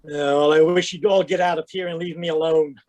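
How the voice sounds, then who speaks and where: Man voicing a crusty jittery old man, gruff, high voice, dry close mic. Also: steady tone, fairly quick, a little mellow, no echo, natural voice. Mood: irritable. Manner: gruff, irritable, suspicious. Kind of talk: radio drama read live over video call. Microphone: home microphone on a video call.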